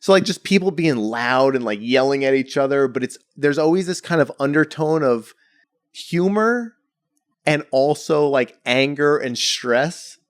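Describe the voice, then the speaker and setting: A man's voice: medium pitch, animated, measured pace, no echo, studio quality. A young man from the Bronx, a painter chatting casually in an interview and filling his talk with "like" and "you know". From the Bronx, New York. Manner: rambling, heavy on "like" and "you know". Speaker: painter guest.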